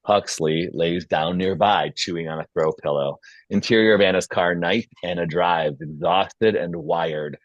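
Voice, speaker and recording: Male narrator for a screenplay table read: low register, dry close sound, reads action and scene headings. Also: fairly even intonation, measured pace, few pauses, dry recording, highs cut off. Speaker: stage directions reader.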